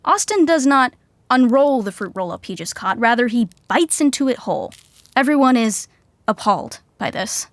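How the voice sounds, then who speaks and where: A woman with a fairly high voice narrates a sitcom. Her delivery is deadpan and wry, recorded close and dry. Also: normal speed, no room sound.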